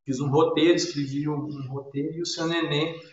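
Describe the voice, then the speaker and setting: A man's voice: a little high, fairly even intonation, fairly quick. Man, Minas Gerais accent, shy rambling storyteller, guest on an interview; very reverberant, muffled remote sound. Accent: Minas Gerais Brazilian Portuguese. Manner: shy, meandering, folksy storytelling. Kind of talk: casual reminiscing interview talk.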